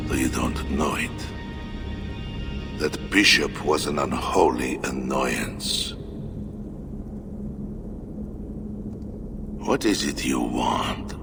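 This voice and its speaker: A man's voice: rumbling low, vocal fry, exaggerated voice. An actor playing a zealous, scornful Frankish king in a video game, theatrical and brooding, with sudden swells. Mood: dry, amused. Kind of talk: video game cutscene acting.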